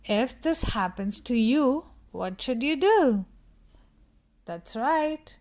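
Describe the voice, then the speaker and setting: A woman narrates a picture book for young children. Her voice sits a little high and she takes her time, speaking very slowly. She teaches as she reads, addressing the young listener directly with questions. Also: animated, a little mellow. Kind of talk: read-aloud storytelling for young children.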